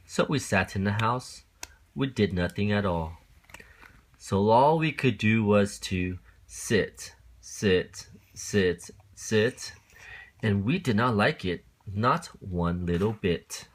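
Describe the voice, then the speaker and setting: A man narrating a children's storybook as a parent would, with expressive, deliberate, rhythmic phrasing. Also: fairly low pitch.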